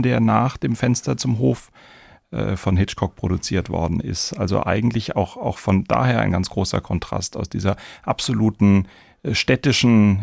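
Man, fairly low husky voice, dry sound, measured podcast hosting. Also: a little mellow, dry recording. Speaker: podcast host.

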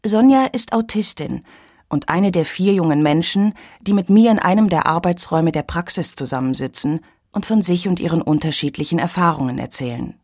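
Female radio reporter, scripted narration, lowish voice, dry close sound. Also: brisk, dry recording. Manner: clear, even reporting.

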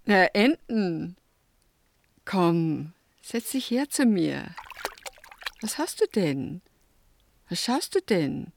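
A small-town secretary in a film drama. She is blunt, colloquial and teasing, her pitch very animated and her delivery unhurried, over faint background noise. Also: vocal fry, full character voice. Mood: flirtatious. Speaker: actor. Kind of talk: naturalistic film drama dialogue.

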